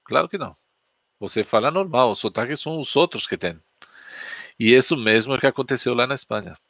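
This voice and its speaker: A man's voice: medium pitch, fairly quick, fairly bright tone, no room sound, studio quality. A man with a Spanish accent, a native Spanish speaker, teaching Spanish in a chatty, anecdotal style and putting questions to his viewers. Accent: Spanish from Spain. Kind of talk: casual storytelling lesson on a live stream.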